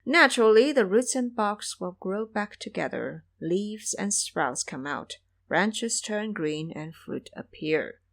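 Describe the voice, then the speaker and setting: Serene, deliberate goddess acted by a woman. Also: medium pitch, lively intonation, creaky, a bit bright, full character voice. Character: serene, authoritative female bodhisattva deity.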